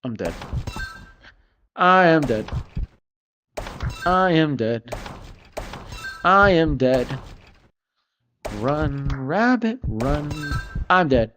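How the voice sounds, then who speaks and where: A man's voice: a little high, big pitch swings, very slow, pauses often. A male streamer muttering and repeating phrases as he reacts to a game. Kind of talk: casual livestream gaming commentary. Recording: music playing loudly behind voice. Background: loud music.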